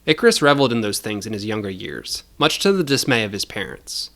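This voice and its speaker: A man's voice: fairly low pitch, very animated, a little fast, gravelly, a bit bright. Man narrating dramatic audio fiction.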